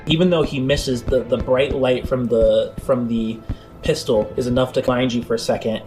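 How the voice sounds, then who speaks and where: A man's voice: medium pitch, fairly even intonation, moderate pace, over music, low-quality recording. A man improvising the narration of a tabletop role-playing game as game master. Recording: clear background noise.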